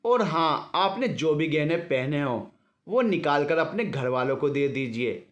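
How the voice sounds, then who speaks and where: A man's voice: a little high, expressive, unhurried, echoey room, lo-fi sound. Man voicing a courteous female hospital nurse. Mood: instructing. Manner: courteous, instructing. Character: polite, matter-of-fact female hospital nurse.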